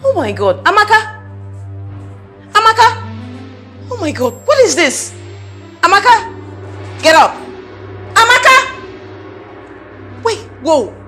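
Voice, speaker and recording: A woman's voice: high-pitched, wildly swooping pitch, normal speed, hardly any pauses, roomy sound, soft background music. An actress with a Nigerian accent playing a spoiled, short-tempered young madam in a screen drama, haughty and exasperated as she scolds. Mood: irritated, demanding. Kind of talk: melodramatic screen drama acting.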